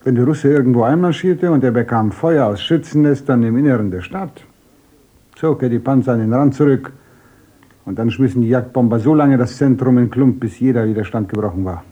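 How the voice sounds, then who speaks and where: A smooth-talking young man, played by an actor in a radio drama. His voice is husky and dark, and he chats on eagerly to please his hosts. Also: medium pitch, brisk, dull audio, close to an everyday voice. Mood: chatty. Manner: talkative, ingratiating. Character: glib chatty young man, boastful guest. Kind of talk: radio play acting.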